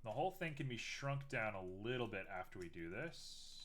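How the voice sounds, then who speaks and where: Young man, live streamer, casual chat, self-mocking, very lively pitch. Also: moderate pace, a little hiss or hum. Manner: chatty, self-mocking, thinks aloud while working.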